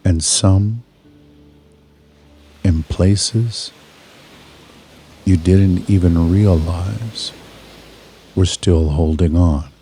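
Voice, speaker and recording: A man narrating a scripted guided meditation in a soothing, measured manner, leaving pauses between short phrases. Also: low-pitched, warm tone, slight background noise.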